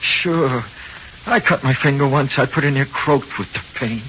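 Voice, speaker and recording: An actor playing a frightened, slangy condemned convict with an American accent in a 1940s radio horror play, halting and pleading. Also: male voice, medium pitch, close to an everyday voice. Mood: fearful, pleading. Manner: slangy, halting, swinging from flat confession to anxious pleading. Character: frightened condemned convict awaiting execution, pleading, uneducated tough. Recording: muffled, hissy 1940s radio recording.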